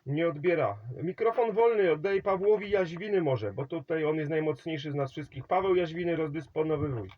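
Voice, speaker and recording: A man's voice: fairly high pitch, brisk, hardly any pauses, lo-fi sound. Chatty man calling over CB radio. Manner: rambling, calls signal reports and hands over the mic. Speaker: CB radio operator.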